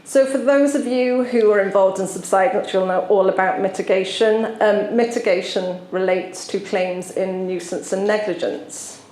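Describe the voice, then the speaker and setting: A woman from South Wales with a Welsh accent, giving a conference talk as a solicitor and explaining with frequent pauses. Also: mid-range voice, a little mellow, reverberant, poor audio. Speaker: tree law solicitor presenting. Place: conference venue.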